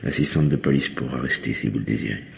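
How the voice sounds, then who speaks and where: A man's voice: rumbling low, fast talker, gravelly, heavily acted. An actor playing a grave, formal policeman at a morgue in a French radio mystery drama. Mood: grave, sympathetic. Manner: formal, subdued. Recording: noisy, muffled vintage radio drama recording.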